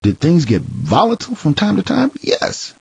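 A man reading a first-person memoir as an audiobook narrator, theatrical, swinging between hushed and heated delivery, with a husky, gravelly voice. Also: fairly high pitch, huge pitch swings, moderate pace, no echo, highs cut off.

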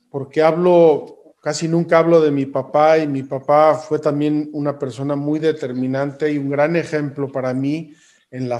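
Male priest host, slow and measured, courteous, fairly high voice. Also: a little mellow. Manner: courteous and measured, with polite thanks. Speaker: priest and interviewer. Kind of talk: slow, formal interview hosting.

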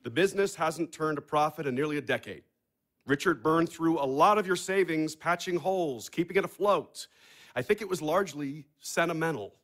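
An actor playing a blunt, sardonic adopted son in an audio play, dry and controlling in manner. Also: male voice, a little high, moderate pace, a bit bright. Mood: blunt, businesslike.

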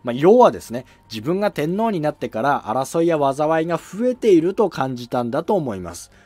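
Lively young man explaining history. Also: a little high. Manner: polite, lively, with drawn-out fillers. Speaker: history channel host.

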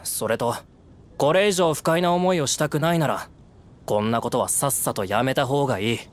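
A man's voice: medium pitch, normal speed, slight background noise, lightly acted. An actor playing an indignant, distrustful young townsman in an anime game, speaking in a curt, accusing manner. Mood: subdued, firm. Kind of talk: Japanese anime game voice acting.

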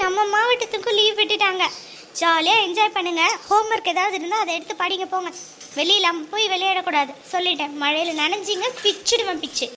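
Brief: woman as a stern, threatening mom, very high voice, noisy recording. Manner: scolding, mock-threatening. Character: strict, threatening mother of the house. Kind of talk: family comedy skit. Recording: noisy background.

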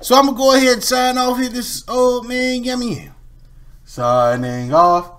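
A middle-aged man giving casual, animated, slangy reaction commentary as a video host. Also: extremely high pitch, moderate pace. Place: small home room.

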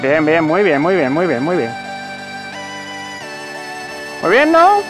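A man with a fairly high voice rides a motorbike through city streets, talking casually about the bike and laughing at himself. He is picked up by a helmet camera mic, with clear background music under the talk. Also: wildly swooping pitch, slow pace. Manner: chatty, joking, laughs at himself. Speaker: motovlogger. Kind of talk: casual motorcycle review while riding. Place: outdoors riding a motorcycle in city traffic.

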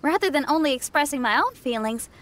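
A woman's voice: high voice, animated, quick pace. A woman voicing an upbeat, friendly young female rock musician in a video game, speaking casually. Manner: casual, colloquial, warm enthusiasm. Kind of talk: video game character dialogue.

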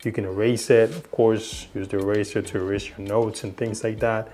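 A young man with a low voice, not a native English speaker, talks through a gadget's features in a casual review. He rambles, restarts and leans on fillers. He is seated in a small room indoors. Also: level tone, normal speed, warm tone, slight background noise. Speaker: tech reviewer. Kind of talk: casual product demo.

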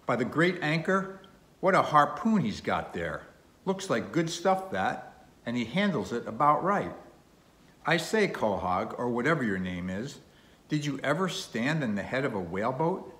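A man voicing a gruff, blustering old whaling captain in an audiobook sea story. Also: mid-range voice, big pitch swings, unhurried, a bit bright, echoey room, pitched above the performer's own voice. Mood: boisterous, impressed.

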